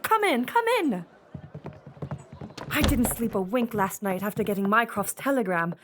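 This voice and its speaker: A woman's voice: on the high side, expressive, normal speed, fairly bright tone. A woman playing a firm, proper Victorian lady in an audio drama, speaking formally and articulately. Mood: earnest. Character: firm, proper protective Victorian woman, exasperated older sister. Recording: music under the voice.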